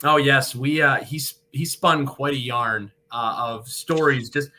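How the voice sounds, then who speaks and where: A man's voice: mid-range voice, steady tone, a little slow, pushed and bright, slight background noise. A young man, a sports broadcaster, giving articulate, wordy answers as an interview guest. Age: young adult. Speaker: guest, radio hockey play-by-play broadcaster.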